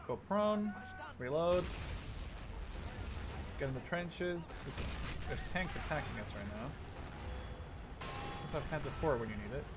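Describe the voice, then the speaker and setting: Young man gaming over battle noise. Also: a little high. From Canada or the Netherlands. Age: 20s. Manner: sweary, thinking aloud. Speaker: gaming video host. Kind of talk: casual let's play commentary. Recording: game gunfire and vehicle noise behind. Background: war game artillery, gunfire and vehicles.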